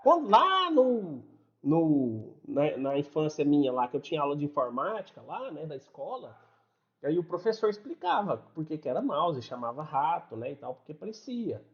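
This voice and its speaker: A man's voice: a little high, big pitch swings, normal speed. A man from Brazil with a Brazilian Portuguese accent, explaining a lesson in a casual, chatty way and checking in with listeners after nearly every sentence. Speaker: English teacher. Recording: dull lo-fi recording.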